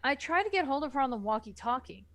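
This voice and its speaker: A woman's voice: high-pitched, big pitch swings, quick pace, a bit bright, no echo. A woman reading scripted first-person story narration as the narrator of an amateur book reading.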